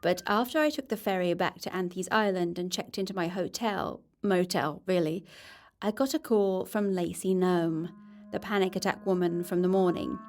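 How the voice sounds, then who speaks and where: A woman's voice: on the low side, normal speed, a little mellow, soft background music, lightly acted. A woman playing a curious, candid podcast reporter in an audio drama, conversational and dryly observant. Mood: matter-of-fact, wry. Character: curious, candid female podcast reporter narrating her investigation. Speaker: reporter and narrator. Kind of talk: scripted first-person podcast narration.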